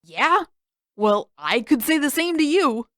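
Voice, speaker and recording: A woman's voice: high voice, very animated, unhurried, a bit bright, no echo, pitched above the performer's own voice, exaggerated voice. Lady doing bossy teen big brother for an audiobook, suspicious n stubborn, very emphatic, dry sound. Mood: accusing. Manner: emphatic, accusing.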